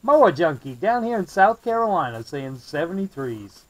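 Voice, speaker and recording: A man's voice: on the high side, big pitch swings, moderate pace, very noisy. An American man working as a CB radio operator, trading call signs and friendly, courteous sign-offs. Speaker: CB radio operator, video maker. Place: indoor radio desk.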